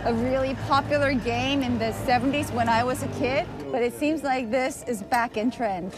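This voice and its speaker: A woman in her fifties reporting on location in a chatty, excited way. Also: high voice, normal speed, noisy background. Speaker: field reporter.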